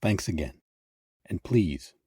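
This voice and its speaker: A man hosting and narrating a scripted history piece with measured, emphatic phrasing. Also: low-pitched, lively intonation. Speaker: host and narrator. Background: background music.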